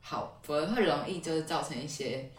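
Low woman's voice chatting and explaining on a podcast, bit echoey and off the mic, music behind. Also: a little fast. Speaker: podcast co-host. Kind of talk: conversational podcast explaining dating advice. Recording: roomy echo, slightly distant mic.

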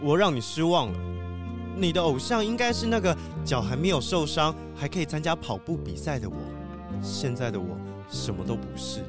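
An actor plays a young cheetah athlete in a children's radio play. He speaks haltingly, uneasy and weighed down by guilt, over prominent music. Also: medium pitch, very animated, lightly acted. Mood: ashamed, sorrowful. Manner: hesitant, halting, downcast. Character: injured young male cheetah athlete, nervous and guilt-ridden. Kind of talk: children's audio drama acting.

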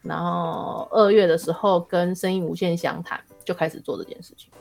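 A woman's voice: lowish voice, steady tone, moderate pace, vocal fry, darkish tone, soft background music. A woman with a Taiwanese Mandarin accent, an author chatting casually as a podcast guest, telling things in a jokey way. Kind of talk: casual chat.

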